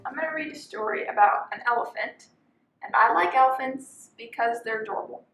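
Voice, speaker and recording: Young woman, higher voice, storybook read-aloud, volunteer storyteller, echoey room. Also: a little fast, fairly bright tone, soft background music. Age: young adult. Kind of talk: children's picture book read-aloud. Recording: roomy, echoing sound.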